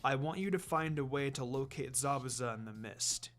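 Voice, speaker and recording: A man voicing a calm, weary, commanding ninja teacher in a measured, matter-of-fact way, for a story reading. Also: medium pitch, soft background music, voice pushed down, close to an everyday voice. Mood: firm.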